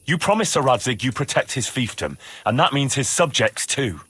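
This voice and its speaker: An actor playing a stubborn, righteous young hero in a video game, arguing insistently. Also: male voice, medium pitch, very animated, moderate pace, a bit bright, husky, exaggerated voice. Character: earnest, indignant, stubborn young male hero. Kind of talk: video game cutscene acting.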